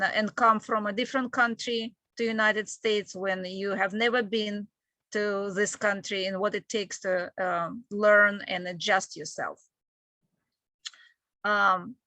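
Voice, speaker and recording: A woman from Ukraine with a Ukrainian accent, presenting a webinar in a halting, explanatory way as an admissions manager. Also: mid-range voice, sharp tone, dry recording. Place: online video call with screen sharing.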